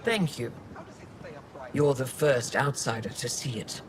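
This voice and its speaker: Guarded, formal alien governor. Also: male voice, mid-range voice, expressive, slow. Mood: reserved. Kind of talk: video game dialogue. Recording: faint background ambience.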